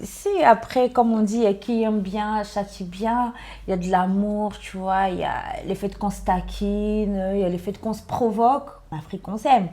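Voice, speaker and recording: Woman guest from Congo Kinshasa, mid pitch, playful and animated in casual conversation. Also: moderate pace. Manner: animated, laughs often, playful. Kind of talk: casual chat.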